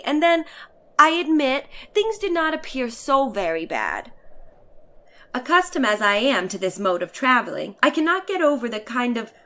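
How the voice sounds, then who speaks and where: A woman playing a bored, haughty, chatty letter-writer on a balloon voyage, in a theatrical, drawn-out dramatic story reading. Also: fairly high pitch, a bit bright. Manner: theatrical, drawn-out, exasperated sighing delivery.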